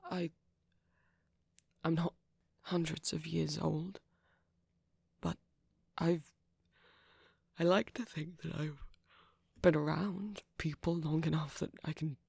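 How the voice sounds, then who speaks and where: A man acting a shy, tender young vampire boyfriend in intimate ASMR roleplay, speaking softly and breathily, often near a whisper, with a hesitant, apologetic manner. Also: on the high side, gravelly, slightly rough, dry recording, studio quality, full character voice. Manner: hesitant, halting, apologetic, gentle.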